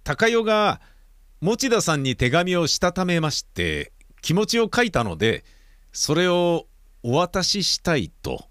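Give me a formal, courteous middle-aged father with a lively, fairly high voice. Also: a little slow, clean tone, dry recording, studio quality, exaggerated voice. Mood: earnest. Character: polite middle-aged father of a deaf daughter. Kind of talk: radio drama acting.

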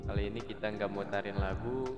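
A man's voice: on the low side, steady tone, a little fast. A man in his twenties hosting a casual podcast chat, candid and self-doubting, rambling with fillers. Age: 20s. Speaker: podcast host. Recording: echoey, dull lo-fi podcast with music bed.

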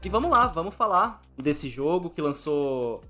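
Brazilian man hosting a podcast, higher-pitched voice. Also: sing-song, a little slow, over loud music. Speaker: podcast host. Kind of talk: casual conversational interview.

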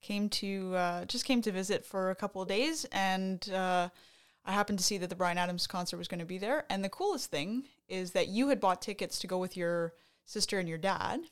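A woman's voice: mid-range voice, a little fast, clear, resonant tone. Woman co-host from Canada, enthusiastic casual talker, mid-range voice, easygoing podcast banter. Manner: chatty, enthusiastic, trails off mid-sentence. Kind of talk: casual chat.

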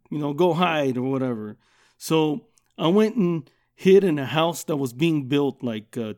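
A middle-aged man hosting a podcast, telling a funny childhood story in a loud, profane, animated way and laughing often. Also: mid-range voice. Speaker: podcast host. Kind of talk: casual comedic storytelling.

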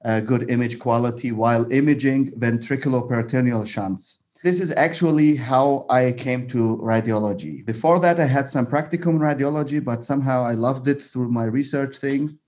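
Friendly man from Iraq, mid-pitched. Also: normal speed, hardly any pauses, darkish tone. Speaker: guest, radiologist. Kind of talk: interview answers.

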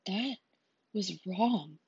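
A woman's voice: mid-range voice, sing-song, extremely slow, darkish tone, husky, no echo, highs cut off, exaggerated voice. A woman voicing an earnest young man who is close to tears. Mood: pleading.

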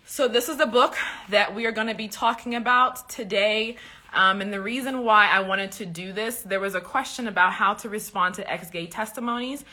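A woman's voice: mid-range voice, normal speed, bright, forceful tone. A woman from Liberia hosting a live podcast stream, chatting casually and upbeat with her viewers, with lots of ums. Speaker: podcast host and coach.

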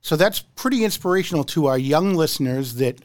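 A man hosting a podcast, asking an interview guest questions in an easygoing, soft way. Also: a little high, animated, fairly quick, no room sound. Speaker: podcast host.

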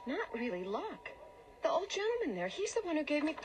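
An actress in a radio drama playing a prim, kindly woman, polite and gently insistent. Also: sits high, sing-song, fairly quick, over music. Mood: helpful.

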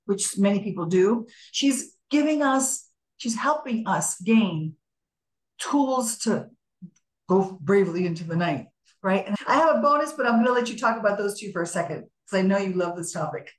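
A woman co-hosting a podcast in casual conversation, telling things in an animated way and acting out quoted remarks. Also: mid-range voice, moderate pace. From Colombian American, United States. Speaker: podcast co-host. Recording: echoey room.

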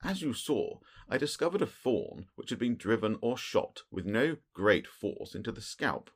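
Man playing an incisive, higher-voiced detective genius. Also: sing-song, moderate pace, husky. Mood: explaining. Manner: didactic, incisive, dry. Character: keen, precise, self-assured male detective genius. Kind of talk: audiobook character voice.

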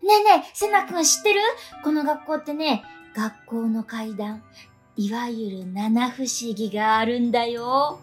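A woman's voice: a little high, expressive, unhurried, faint music behind. A woman playing a friendly, quirky teenage schoolgirl in a radio drama, telling things with guileless eagerness. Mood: friendly, oblivious. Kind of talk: conversational radio drama acting.

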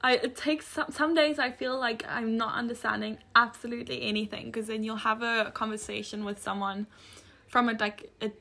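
A young woman talks with her co-host in an easygoing podcast. Her voice is bright and clear, and her sentences wander and correct themselves as she goes. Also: a little high, steady tone, normal speed. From South Africa. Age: young adult. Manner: rambling, self-correcting. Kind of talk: casual chat.

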